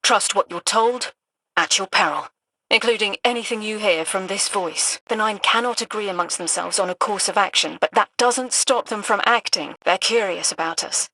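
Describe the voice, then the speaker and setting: A woman playing an earnest, resolute soldier in a video game, speaking in a brisk, direct and urgent way. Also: mid-range voice, bright, forceful tone, no echo, rough recording. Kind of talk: dramatic video game monologue.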